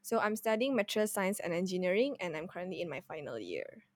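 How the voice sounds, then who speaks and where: A woman's voice: lowish voice, very animated, quick pace. A young woman in her twenties from Indonesia, a podcast guest speaking in a friendly, slightly hesitant way. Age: 20s. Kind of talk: casual podcast chat.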